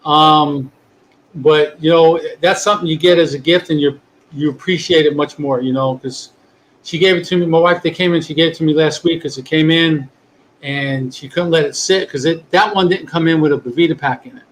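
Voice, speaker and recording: A man's voice: on the high side, normal speed, a little hiss or hum, low-quality recording. Chatty New man hosting. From New York. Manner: rambling, relaxed, many restarts. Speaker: podcast host. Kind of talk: casual chat. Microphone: video call.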